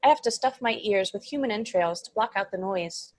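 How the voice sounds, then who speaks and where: A woman's voice: medium pitch, lively intonation, a little fast, close to an everyday voice. A woman playing a childlike, naive and eager demon in a comedy table read, with an earnest, innocent manner. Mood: wistful. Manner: innocent, earnest, sweetly matter-of-fact.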